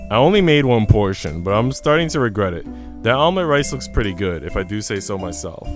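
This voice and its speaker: A man's voice: fairly low pitch, very animated, a little fast, over music. A young man, rambling informally as he livestreams a visual novel, switching between slangy chat and reading aloud.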